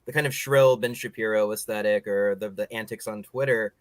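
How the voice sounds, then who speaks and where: A man's voice: fairly low pitch, fairly even intonation, fairly quick, no room sound. A man hosting a podcast interview in a casual, rambling, opinionated way, peppering his talk with "like". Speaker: podcast host interviewing guests.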